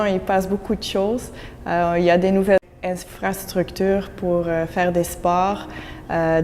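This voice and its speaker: A woman's voice: medium pitch, a little mellow. A woman from Belgium whose first language is Dutch, speaking French in an interview, earnest and reflective with frequent fillers. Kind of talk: on-camera interview. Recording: echoey room with faint background noise.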